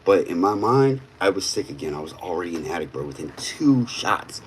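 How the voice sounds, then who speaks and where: A man's voice: sits low, big pitch swings, a little fast, slight background noise, poor audio. A man in his thirties talking bluntly in casual streetwise slang on a livestream. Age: 30s. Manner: blunt, streetwise, peppered with "bro".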